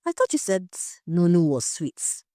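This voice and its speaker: Woman acting a composed, low-voiced investigator. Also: sing-song, measured pace, mellow, no room sound, studio quality. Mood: probing. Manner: direct, questioning. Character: composed, probing female investigator.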